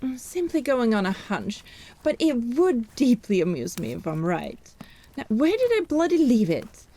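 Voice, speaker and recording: A woman's voice: a little high, big pitch swings, measured pace, mellow, slight background noise. A woman playing a haughty, teasing country healer in a fantasy audio drama, arch and inquisitive. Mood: amused, eccentric.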